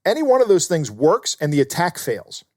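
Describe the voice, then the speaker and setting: A man's voice: fairly high pitch, wildly swooping pitch, a little fast, darkish tone, no room sound, studio quality. A man co-hosting a podcast, giving earnest, explanatory commentary in a conversational way. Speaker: podcast co-host.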